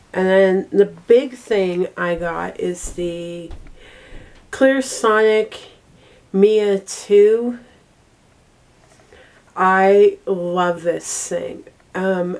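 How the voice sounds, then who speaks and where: Slow-paced young woman with a cold. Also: fairly low pitch, a little mellow. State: congested with a cold. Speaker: vlogger. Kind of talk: casual monthly favorites vlog. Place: small bedroom, indoors.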